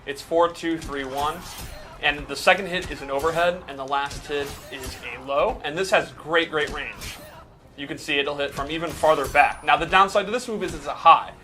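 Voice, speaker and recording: A man's voice: fairly high pitch, moderate pace, sharp tone, faint music behind. A man giving a casual, explanatory breakdown in the style of gameplay commentary. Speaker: game commentator breaking down a character.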